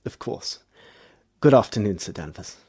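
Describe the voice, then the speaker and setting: A man playing an earnest Victorian doctor with a dark, suave side, in a husky voice, in stage melodrama style. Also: fairly low pitch, expressive, normal speed. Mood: earnest, weary. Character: exhausted earnest male doctor devoted to science; also his suave alter ego.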